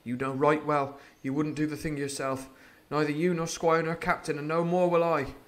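A man voicing a frightened, earnest teenage cabin boy in a storybook reading, pleading and high-strung. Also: flat delivery, normal speed, lightly acted.

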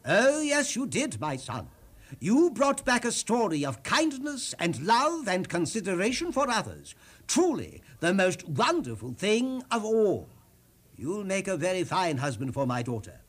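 A man's voice: high voice, huge pitch swings, unhurried, breathy edge, pitched above the performer's own voice, exaggerated voice. A man voicing a delighted, kindly king in a storybook reading, exclaiming in a grand, declaiming manner. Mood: warmly proclaiming.

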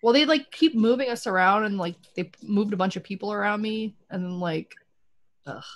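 A woman's voice: mid-range voice, moderate pace. Slightly congested woman chatting casually. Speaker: panelist. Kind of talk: casual chat. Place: room at home. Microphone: video call.

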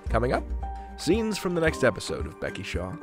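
A man's voice: mid-range voice, wildly swooping pitch, a little fast, music in the background. A man hosting a podcast, moving between playful scripted announcements and interview questions. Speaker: podcast host.

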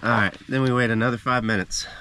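A man in his thirties casually narrating a camp breakfast to the camera from inside a parked van, chatty and relaxed. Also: medium pitch, fast talker, heavy background noise. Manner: relaxed, chatty, walks through each step. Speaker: travel vlogger. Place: inside a parked van, small enclosed space. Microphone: camera mounted in the vehicle.

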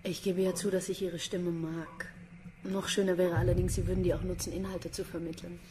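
An actress playing a dry, sardonic police inspector in a German radio crime drama. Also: female voice, on the low side, level tone, brisk, warm tone, a little hiss or hum, lightly acted. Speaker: police detective character.

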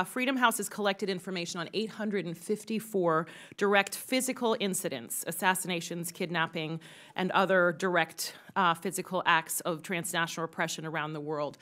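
A woman's voice: on the low side, a bit bright. Woman giving formal testimony at a hearing. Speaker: expert witness. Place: large congressional hearing room, indoors. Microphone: desk microphone.

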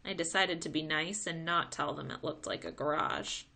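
Bright-voiced woman reading a storybook aloud. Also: low voice, moderate pace, vocal fry. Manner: expressive reading. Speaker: teacher reading a chapter book aloud. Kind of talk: read-aloud storytelling for children.

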